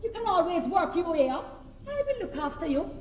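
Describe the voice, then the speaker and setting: A woman's voice: very high-pitched, sing-song, fairly quick. An actress playing a haughty, commanding Jamaican wife who scolds and belittles her husband in a comic stage play. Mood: bossy, coaxing. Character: bossy, snobbish high-earning wife belittling her husband. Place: on a theatre stage before an audience. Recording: echoey theatre hall, distant, noisy audience.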